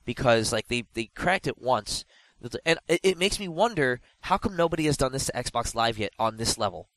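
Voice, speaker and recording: A man's voice: medium pitch, moderate pace, no room sound, rough recording. A man with a stuffy, blocked nose holds forth on a casual gaming podcast, circling back and restarting his sentences as he goes. His voice sits in an ordinary middle range. The sound is close and dry, with no room around it. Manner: animated, rambling with frequent restarts and fillers. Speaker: podcast co-host.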